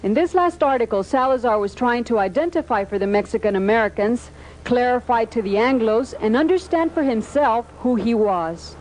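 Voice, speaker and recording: A woman reads a documentary script in a steady, measured way. Her voice sits a bit high. Faint noise lies under the recording. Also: rough recording. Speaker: documentary narrator.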